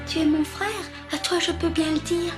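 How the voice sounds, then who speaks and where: A woman voicing a small schoolchild in a French-dubbed animated series. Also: very high-pitched, moderate pace. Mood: confiding, reluctant. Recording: music behind the voice.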